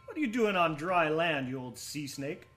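A man's voice: on the high side, very animated, moderate pace, fairly bright tone. An actor in a radio drama playing a genial, obliging man, eager and polite as he questions his friend. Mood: genial, welcoming. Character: genial, obliging male friend of an old sailor.

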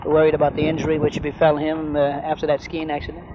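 Male radio interviewer, 1970 sports broadcast, formal and courteous, slightly high voice, muffled noisy tape. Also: monotone, fast talker. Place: on location at a spring training base. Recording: noisy, dull vintage 1970 radio recording.

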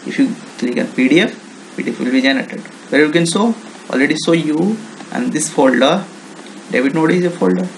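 A man with an Indian accent giving an informal, unscripted software tutorial in halting English with non-native phrasing. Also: on the high side, slight background noise, low-quality recording. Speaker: software demonstrator.

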